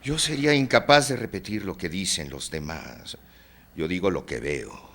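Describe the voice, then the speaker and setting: An actor playing a fatalistic Victorian novelist in a theatrical drama, solemn and declamatory, with darkly taunting, grandiloquent speech. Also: male voice, low-pitched, animated, moderate pace, a bit bright, full character voice. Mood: pointed. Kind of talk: theatrical stage-drama dialogue.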